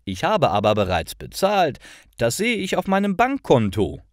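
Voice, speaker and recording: A man's voice: fairly high pitch, wildly swooping pitch, moderate pace, dry recording, clean studio sound, pitched above the performer's own voice. A man voicing an earnest, upset young woman in a storybook for learners, speaking carefully.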